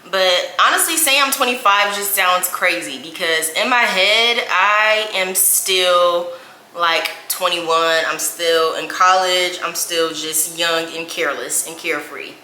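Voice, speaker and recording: Chatty twenty-something woman, bright voice. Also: fairly low pitch, measured pace, reverberant, a little hiss or hum, rough recording. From Indianapolis, USA. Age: 20s. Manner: chatty, says "y'all" and "like" often. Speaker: beauty vlogger. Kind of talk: casual chat while doing makeup.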